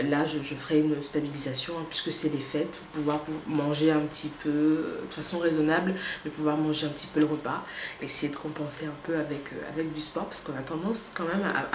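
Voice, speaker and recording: A woman with a slight cold gives a casual, chatty vlog update and talks straight to her viewers, with many hesitant pauses. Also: deep voice, echoey room, a little hiss or hum. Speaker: vlogger.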